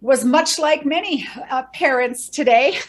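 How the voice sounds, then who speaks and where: A woman's voice: sits high, measured pace. A middle-aged woman, a bereaved mother and educator, explaining earnestly in a podcast interview and rattling off lists of facts.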